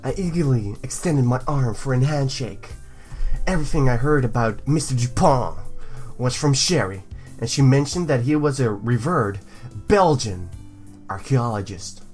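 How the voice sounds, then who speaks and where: A woman's voice: very deep voice, lively intonation, moderate pace, a little mellow, faint music behind. A woman hosting a let's play, reading a visual novel aloud with playful commentary.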